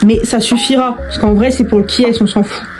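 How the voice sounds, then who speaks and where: A woman's voice: a little high, steady tone, a little fast. A woman chatting informally to viewers as she does a craft, hesitant and often repeating herself or trailing off. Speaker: video host doing a craft tutorial. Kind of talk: casual chat to viewers while crafting. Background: background music.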